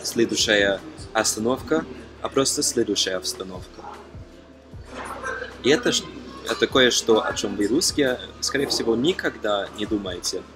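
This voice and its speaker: A young man from Germany talking casually to camera as a non-native speaker, halting with frequent fillers and self-corrections. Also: on the low side, low-quality recording. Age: young adult. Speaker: language-learning vlogger. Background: background music.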